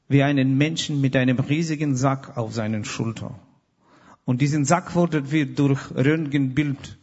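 A man's voice: medium pitch, a little mellow, highs cut off. Earnest man in a reverberant hall. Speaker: guest. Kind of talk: personal testimony.

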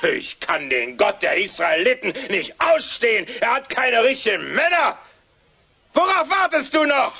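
A boastful giant warrior with a very high, sharp voice. He challenges and sneers in radio-drama style, on a slightly muffled recording. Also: sing-song, measured pace, slightly rough, full character voice. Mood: scornful. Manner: mocking, challenging, with scornful laughter. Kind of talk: radio play acting.

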